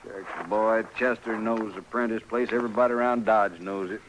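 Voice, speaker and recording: An actor playing a folksy, plain-spoken frontier stable keeper in an old radio western, drawling in a rustic American accent. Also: male voice, lowish voice, fairly even intonation, moderate pace, lightly acted. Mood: plain, practical. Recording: noisy old radio recording.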